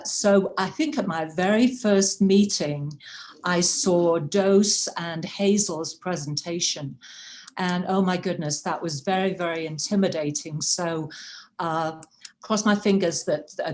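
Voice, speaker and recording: A woman with a Welsh accent and a fairly low voice talks her club through her background. She is chatty and self-deprecating, with plenty of uhs, heard over a video call. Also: unhurried, darkish tone. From rural North Wales. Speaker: club member giving a talk. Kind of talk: personal presentation at a club meeting.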